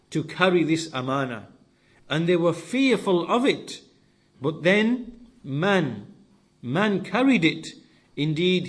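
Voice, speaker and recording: A man with a somewhat high voice delivers a religious lesson. He lays out his explanation in short, deliberate phrases. Key words come back again, repeated for emphasis, as a teacher would do. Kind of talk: lesson-style sermon explaining scripture.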